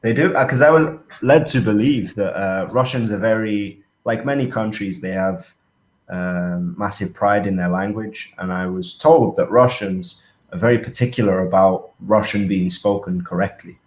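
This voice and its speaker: Low voiced podcast cohost guy, curious questions, echoey room. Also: moderate pace. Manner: short backchannel replies, curious questions. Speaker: podcast co-host. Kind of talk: casual interview chat.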